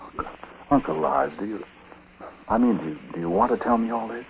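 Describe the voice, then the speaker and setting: Troubled husband and dutiful nephew, very low voice, halting confessional delivery, vintage radio drama with muffled, hissy sound. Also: male voice, animated, creaky. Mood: uneasy, gentle. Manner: halting, conversational. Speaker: lead actor and narrator. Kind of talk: 1940s radio acting, first-person confessional narration. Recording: noisy, muffled 1940s radio recording.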